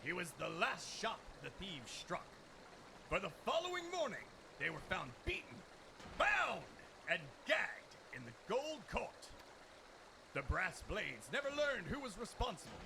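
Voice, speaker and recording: A man voicing a pompous, boastful gentleman inspector in a video game, with grandiloquent, declamatory delivery. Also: high-pitched, wildly swooping pitch, unhurried, creaky, noisy background, exaggerated voice. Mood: dramatic storytelling. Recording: loud music behind. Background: game music.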